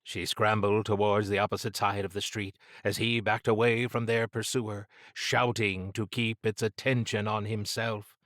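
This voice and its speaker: A man reading scripted fiction as an audiobook narrator, with measured, deliberate, dramatic phrasing. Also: fairly low pitch, level tone, fairly bright tone, dry recording, studio quality.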